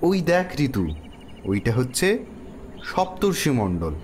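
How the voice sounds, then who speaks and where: A man playing an affectionate father in an audio story, gently teasing and musing with his child. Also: mid-range voice, very animated, slow, a little hiss or hum. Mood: fond, musing. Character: affectionate father teaching his young daughter about stars.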